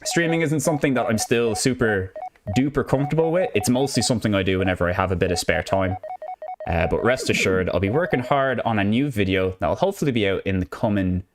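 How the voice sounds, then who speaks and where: Low-voiced Irish man, chatty streamer. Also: quick pace, noisy background. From Ireland. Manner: chatty, rambling, upbeat, with frequent restarts. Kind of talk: casual live gameplay commentary. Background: game music.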